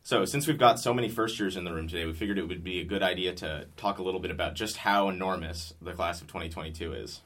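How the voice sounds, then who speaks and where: Young guy with a really deep voice reading campus news in a booth, steady and scripted. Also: quick pace, few pauses. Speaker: host of student news show. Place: recording booth.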